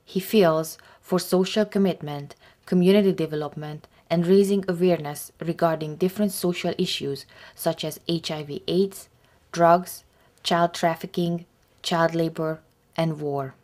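A woman reading scripted documentary narration in a measured, even way. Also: fairly low pitch.

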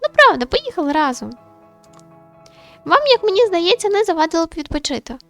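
A woman voicing a chatty, eager, insistent young woman in a visual novel, with animated, friendly delivery. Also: extremely high pitch, fairly quick, no room sound, faint music behind, voice pushed up, heavily acted. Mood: insistent, cheerful.

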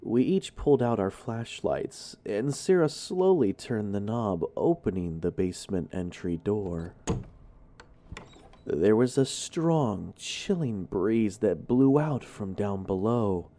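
Animated man with a mellow voice. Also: fairly low pitch, slow. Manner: animated, informal, expressive. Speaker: horror story narrator. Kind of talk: dramatic first-person storytelling, conversational.

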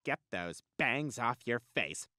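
A man voicing a flamboyant, self-absorbed alien in an animated comedy, with a nasal, catty, theatrical delivery. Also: mid-range voice, takes it slowly, no room sound. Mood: theatrical, breezy.

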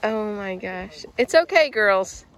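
A woman's voice: medium pitch, animated, slow, rough recording. A woman vlogging casually outdoors on her farm, laughing often and explaining things to her viewers. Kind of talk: casual family chat.

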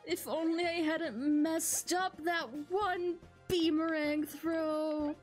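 A woman doing playful, excitable live game commentary and reading dialogue aloud with mock drama. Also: very high voice, slow, faint music behind. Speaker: game streamer.

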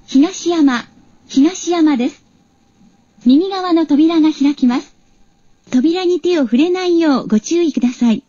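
A woman's voice: high voice, a little slow, pauses often, warm tone. A woman making formal, polite scripted station announcements as an automated train voice. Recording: dull, muffled announcement recording.

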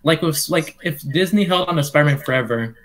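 A man's voice: a little high, fairly quick, a bit bright. A young man chatting casually with lots of filler words, on a headset webcam call from his bedroom. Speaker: podcast co-host. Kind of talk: casual chat.

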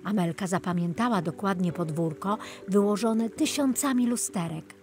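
A woman's voice: medium pitch, normal speed, darkish tone. A woman reading scripted narration for a children's audiobook as a storyteller. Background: music.

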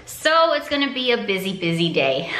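Young American female vlogger, enthusiastic and chatty, bright tone, echoey home room. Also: a little high, sing-song, moderate pace. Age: young adult. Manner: upbeat, enthusiastic. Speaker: vlog host. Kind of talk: casual chat to viewers. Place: indoors at home, open room with kitchen. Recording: echoey room, faint background noise.

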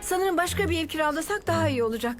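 High fussy cartoon mom, music going behind. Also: female voice, expressive, quick pace. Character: sensible fussy mother, adult woman. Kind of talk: cartoon dubbing.

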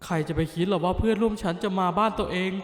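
A man voicing a bookish, easily flustered teenage schoolboy in an animated dub, grumbling to himself. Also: sits high, rapid-fire, lightly acted. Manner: flustered, grumbling inner monologue. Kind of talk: anime dub acting.

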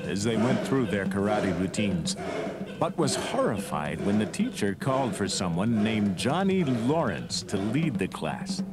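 Give this a male narrator for a read-along storybook. His pitch sits on the low side and moves in an animated way, over prominent music from an old cassette. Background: loud music.

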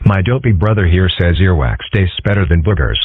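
A young cartoon animal boy voiced by a man, earnest and deadpan, with a surprisingly low, husky voice. The sound is close and dry but thin and phone-like. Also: a little fast, dry recording. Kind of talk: scripted comic-strip dub. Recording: dry, muffled phone-like audio.

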